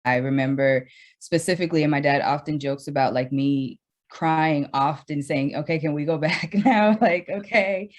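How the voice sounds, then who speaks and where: Low-voiced woman educator telling her story. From Dominican Republic, raised in Brooklyn. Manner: conversational storytelling with many fillers and restarts, speeds up and slows down. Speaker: educator, guest. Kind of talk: radio interview.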